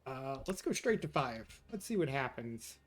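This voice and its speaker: A man from New York talks loosely with his viewers as he plays through a game. His voice sits at an ordinary middle pitch for a man. Faint game music plays quietly under his chatter. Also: sing-song, normal speed. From New York, USA. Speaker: streamer. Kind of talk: casual gameplay chat with viewers.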